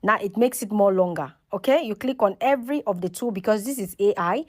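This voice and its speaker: A woman's voice: mid-range voice, a little fast, a little mellow. Woman giving a casual step-by-step tutorial. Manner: instructional, often checks in with okay and right. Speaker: tutorial host.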